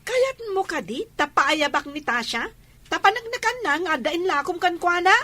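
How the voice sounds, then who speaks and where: High, bright actress as aggrieved village woman. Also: sing-song, moderate pace, full character voice. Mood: accusing. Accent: Ilocano. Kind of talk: Ilocano barangay comedy drama acting.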